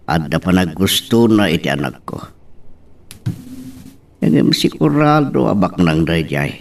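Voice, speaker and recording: A weary old father with an Ilocano accent acted by a man muses very slowly in a lowish voice, humble and worried, as in a radio drama. He is a bit off the mic. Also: big pitch swings, gravelly, recorded from a distance, exaggerated voice. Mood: worried, musing. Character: humble, worried elderly poor father of a young woman.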